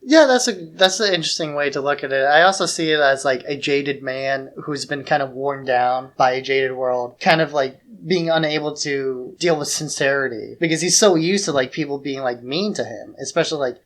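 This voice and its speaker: A man's voice: mid-range voice, moderate pace, hardly any pauses, a bit bright. A man co-hosting a film podcast, giving his take in informal, loosely phrased talk. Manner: informal, tentative phrasing with frequent "like". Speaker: podcast co-host. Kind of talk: casual film discussion.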